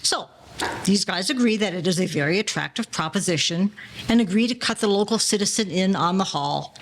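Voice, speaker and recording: A woman acts out an aging gangster safecracker in an audio drama. The delivery is wily and menacing, full of formal-sounding 1940s underworld slang. The pitch sits in the middle range, with slight background noise. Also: close to an everyday voice. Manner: slangy, mock-formal storytelling.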